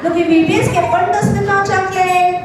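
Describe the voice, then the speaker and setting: A woman's voice: very high voice, fairly even intonation, racing, music in the background. A woman hosting a live children's puppet show, coaxing and playful, often putting questions to the children. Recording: distant echoey hall, loud children's crowd noise.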